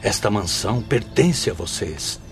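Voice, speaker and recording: A man's voice: fairly low pitch, animated, measured pace, creaky, faint music behind. An actor playing a solemn, fatherly spirit director welcoming newcomers in a formal, exhortative radio drama style. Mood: solemn welcome.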